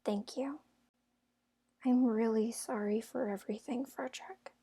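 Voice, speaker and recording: A woman's voice: fairly high pitch, fairly even intonation, unhurried, warm tone, husky, full character voice. A young woman playing a guarded but feisty and sincere young heroine in a fairytale audio drama. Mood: apologetic, vulnerable.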